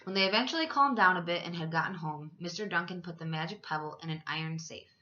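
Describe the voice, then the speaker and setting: Lady teacher doing storytime, bright clear-cut tone, dramatic emphasis, recording a little muffled. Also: fairly low pitch, fast talker. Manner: dramatic emphasis for young listeners. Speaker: teacher reading aloud. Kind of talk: expressive children's storybook narration.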